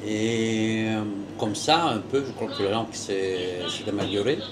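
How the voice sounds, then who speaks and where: A man, talking in a reflective way and pausing to search for words. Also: fairly low pitch, noisy background. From Russia. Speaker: entrepreneur, former diplomat.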